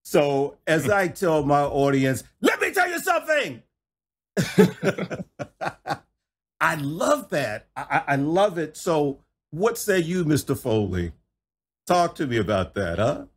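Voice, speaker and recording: Host, middle-aged man, exuberant, folksy, slightly high-pitched, takes his time. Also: big pitch swings, slow pace, slightly rough. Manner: exuberant, folksy, repeats himself, says y'all. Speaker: podcast host. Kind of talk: enthusiastic interview chat.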